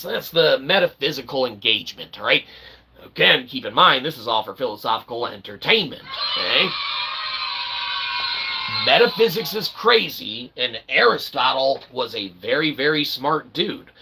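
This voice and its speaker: A man hosting his own channel, delivering a rambling, wordy philosophical monologue with sudden excited outbursts. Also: medium pitch, creaky, slight background noise, poor audio. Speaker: channel host.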